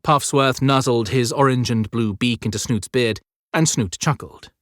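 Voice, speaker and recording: A man's voice: medium pitch, normal speed, a bit bright, no room sound, clean studio sound. A man narrating scripted fiction as an audiobook storyteller.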